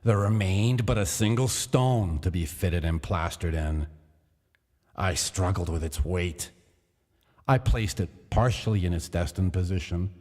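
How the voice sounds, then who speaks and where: A man reading a gothic horror story aloud in a slow, deliberate, theatrical manner, heard in a long echoing hall. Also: low-pitched, a little mellow. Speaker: reader of a gothic tale. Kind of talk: dramatic literary narration.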